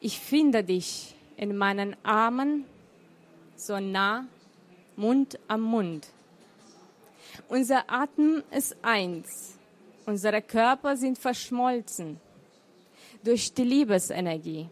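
A middle-aged woman whose first language is Romanian, with a Romanian accent, reading her poems in a deliberate, emotional way. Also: on the high side, animated. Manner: slow, deliberate, emotional recitation. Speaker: poet and author. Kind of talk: poetry reading.

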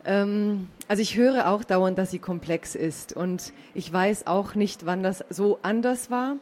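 A woman speaking as a panel guest, telling relaxed anecdotes. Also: medium pitch, unhurried, a little hiss or hum.

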